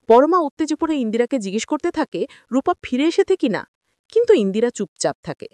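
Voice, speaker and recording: A woman's voice: high voice, sing-song, brisk, darkish tone, no room sound. A woman reading a scripted story recap of a TV drama, moving from scene to scene. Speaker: narrator of TV serial episode recaps. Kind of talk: scripted story recap narration with acted-out dialogue.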